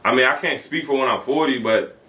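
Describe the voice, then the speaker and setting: A man's voice: mid-range voice, fairly quick, sharp tone, roomy sound, low-quality recording. A man in his thirties co-hosting a podcast, talking bluntly and profanely in a casual debate, laughing as he makes his points. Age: 30s. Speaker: podcast co-host.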